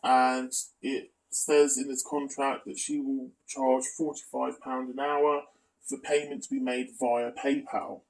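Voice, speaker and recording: A man delivers an exposé-style commentary in a middling, creaky voice. He sounds critical yet matter-of-fact as he lays out and reads through evidence. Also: mid-range voice, little pitch movement, measured pace, rough recording. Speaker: video commentator presenting an exposé. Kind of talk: spoken commentary reading out evidence.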